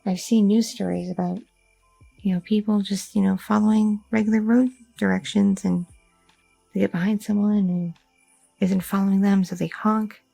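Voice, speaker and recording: A woman's voice: mid-range voice, little pitch movement, moderate pace, gravelly, dark tone, husky, dry recording, soft background music, low-quality recording. A young woman voicing an anxious goth girlfriend who rambles and second-guesses herself, in an ASMR audio roleplay. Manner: rambling, self-doubting, talks through her worries.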